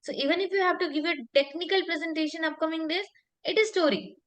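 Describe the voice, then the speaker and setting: A woman's voice: very high voice, fast talker, a bit bright. A woman works as a public speaking coach. She explains in an emphatic, conversational way, repeating phrases for weight and checking in with short tag questions.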